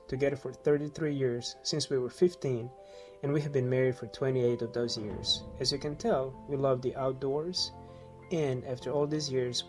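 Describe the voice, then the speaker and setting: A man in his late forties speaks with a Croatian accent, delivering a measured, scripted missionary narration. His voice sits at a mid pitch. Clear music plays beneath the voice-over. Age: late 40s.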